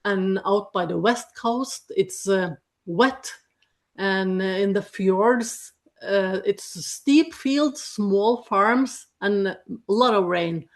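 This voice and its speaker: A woman from Norway with a Norwegian accent, a dairy farmer presenting in a webinar in halting, friendly English, often breaking into small laughs. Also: medium pitch. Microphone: video call.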